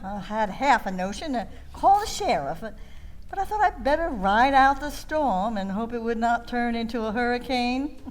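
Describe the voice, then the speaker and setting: A woman's voice: on the high side, animated, normal speed, low-quality recording. Folksy Southern woman storyteller. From North Carolina. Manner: folksy, dramatic, fretting. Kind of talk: comic storytelling performance.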